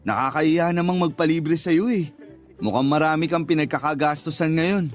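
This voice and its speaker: A man's voice: fairly high pitch, normal speed, soft background music, close to an everyday voice. A man acting a teasing, naive customer and suitor in a Tagalog radio drama, joking and placating.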